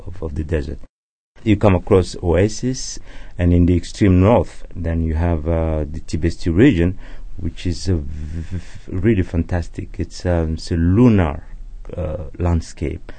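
A man from Chad whose first language is Arabic, a journalist speaking as a guest in a measured way, often pausing to search for words. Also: deep voice, dark tone, no room sound. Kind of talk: casual explanatory talk.

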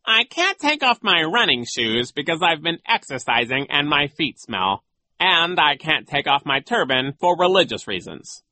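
Oily, theatrical villain coach. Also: male voice, high-pitched, slow pace, no room sound, pitched above the performer's own voice, full character voice. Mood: suddenly serious. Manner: theatrical, insincerely flattering. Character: oily villainous man disguised as gym coach.

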